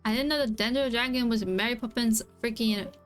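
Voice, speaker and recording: A woman's voice: a little high, a little fast. A woman game streamer giving casual, slangy reaction commentary as she plays, emotionally responsive to the story. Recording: game music behind the voice. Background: game music.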